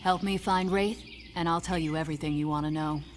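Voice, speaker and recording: A woman's voice: deep voice, fairly even intonation, brisk, slight background noise, close to an everyday voice. A woman voicing a cool, guarded secret agent in a video game, speaking in a businesslike way.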